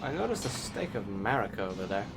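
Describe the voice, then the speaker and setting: A man's voice: on the low side, very animated, fast talker, vocal fry, very noisy. A man giving casual let's-play commentary on a video game in offhand asides. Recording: loud game music over voice. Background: loud video game music.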